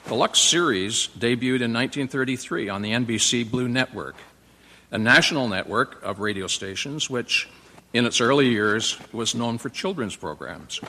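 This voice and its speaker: A man's voice: mid-range voice, animated, normal speed, bright, forceful tone. Man host reading an intro in a big reverberant hall. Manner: reads with frequent fillers and slips. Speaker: host introducing the radio play. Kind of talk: read introduction. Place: live stage performance venue.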